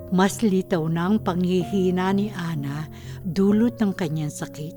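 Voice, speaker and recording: A woman reading scripted narration for a radio drama. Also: fairly low pitch.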